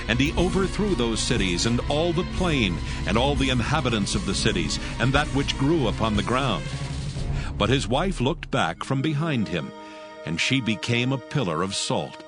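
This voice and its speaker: A man narrating scripture in a measured, solemn reading. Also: mid-range voice. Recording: narration over music.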